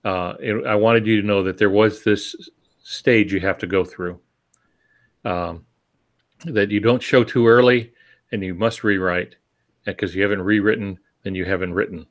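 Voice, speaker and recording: A man's voice: lowish voice, steady tone, moderate pace, lo-fi sound. An older man co-hosting a podcast, reminiscing and giving advice in a casual conversational style. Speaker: podcast co-host and writer.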